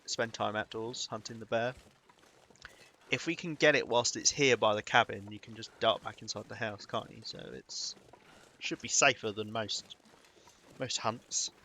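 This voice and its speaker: Chatty British man, bright voice. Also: lowish voice, steady tone, moderate pace. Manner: chatty, rambling, chuckles between remarks. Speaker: streamer. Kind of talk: live gaming commentary.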